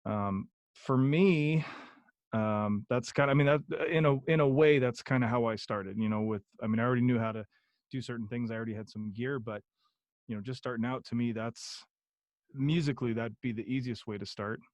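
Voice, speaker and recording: A man's voice: medium pitch, moderate pace, a little mellow, no room sound. A young man chatting as a podcast guest, rambling with many ums and restarts. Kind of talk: casual interview chat.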